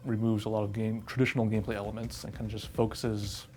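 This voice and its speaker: A man's voice: on the low side, little pitch movement, fast talker, darkish tone, slight background noise. A man in a casual interview as an indie game developer, with loose, hedging phrasing.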